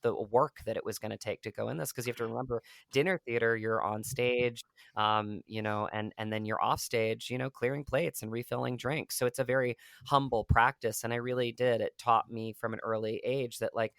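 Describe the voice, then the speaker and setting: A man's voice: medium pitch, fairly even intonation, a little fast, creaky, fairly bright tone, dry recording. A man from rural Virginia, an actor guest talking in a reflective, rambling way with many fillers. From rural Virginia, USA. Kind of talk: casual interview talk.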